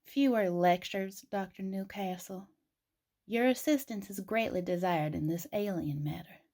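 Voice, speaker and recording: A woman's voice: medium pitch, unhurried, darkish tone. An actress playing a composed, formal woman who politely but firmly directs officials in an audio drama.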